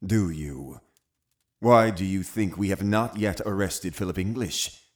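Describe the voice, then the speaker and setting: An actor playing a cunning, zealous Puritan uncle in an audio drama, commanding and condescending with deliberate phrasing. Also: male voice, sits low, a little mellow. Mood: scornful, brooding. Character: cunning, zealous Puritan uncle, a menacing witch-hunting authority. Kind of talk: full-cast audio drama acting.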